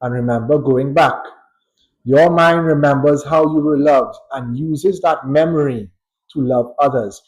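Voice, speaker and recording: Slow, measured Trinidadian man. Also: on the high side, a little mellow, rough recording. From Trinidad and Tobago. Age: adult. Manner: measured, thoughtful, with pauses and light chuckles. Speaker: psychologist. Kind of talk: unscripted educational talk.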